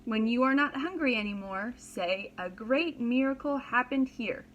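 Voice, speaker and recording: A woman voicing a kind, bent old peasant woman in a storybook, speaking slowly and earnestly as she instructs. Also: a little high, fairly bright tone, lo-fi sound, slight background noise. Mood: kindly, instructive.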